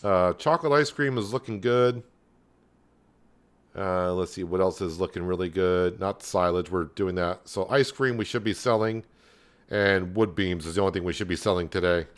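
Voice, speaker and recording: A man calmly talking viewers through a farming game on a livestream, in a soothing, unhurried way. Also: low voice. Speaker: game streamer. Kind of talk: casual gameplay commentary.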